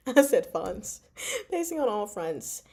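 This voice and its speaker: A woman hosting a casual book discussion, chatty and expressive, often pausing mid-thought. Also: medium pitch, slightly rough. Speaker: book discussion vlog host. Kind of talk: casual live book chat.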